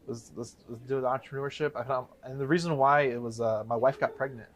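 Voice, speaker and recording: Man, thirties, fast talker, rambling, self-correcting, casual interview guest. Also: medium pitch, steady tone. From Chicago area, USA. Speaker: guest, real estate investor.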